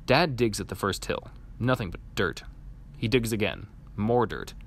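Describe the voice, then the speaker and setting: A man reading a children's picture book aloud in an animated, expressive storytelling manner. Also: on the low side, normal speed, gravelly, slight background noise. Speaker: storybook reader.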